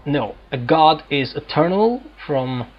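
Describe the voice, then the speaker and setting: A man hosting a role-playing game video, giving unscripted, reflective commentary in a halting way. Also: fairly high pitch, lively intonation. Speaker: gaming video host. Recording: slightly dull audio, faint game music. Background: faint game music.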